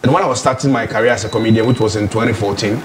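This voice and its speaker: A man's voice: fairly low pitch, rapid-fire, a little hiss or hum. A man from Ghana, a comedian and MC, chatting casually in an interview a loose, rambling way of telling stories. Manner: relaxed, rambling storyteller with frequent restarts. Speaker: comedian and MC guest.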